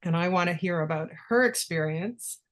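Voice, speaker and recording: A woman hosting an online talk show, enthusiastic and quick to thank her guests. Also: lowish voice, fairly bright tone.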